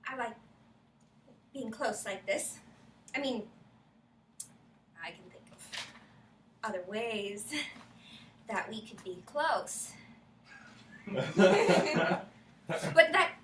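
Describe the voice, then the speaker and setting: A woman's voice: mid-range voice, animated, drawn-out words, pauses often. A woman playing a shy, smitten young woman on a date in a staged romantic comedy reading, hesitant and giggly. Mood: tender, smitten. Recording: roomy, distant mic, faint noise.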